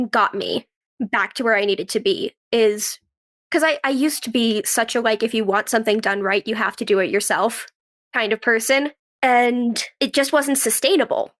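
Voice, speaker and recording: A woman's voice: a little high, a bit bright. A young woman answering interview questions in a casual, conversational way, telling stories and often saying "like" and quoting her own thoughts. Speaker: podcast guest, actress and writer.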